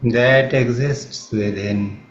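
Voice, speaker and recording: A man with an Indian accent leads a guided meditation in a calm, measured manner, leaving long pauses between phrases. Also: medium pitch. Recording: roomy, slightly muffled, faint background noise.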